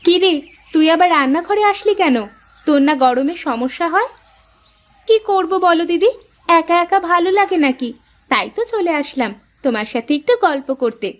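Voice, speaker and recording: A woman voicing fussing, affectionate village sisters in a cartoon, in an expressive, homely way. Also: extremely high pitch, normal speed. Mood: concerned, chatty. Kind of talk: cartoon voice acting. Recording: faint music behind the voice.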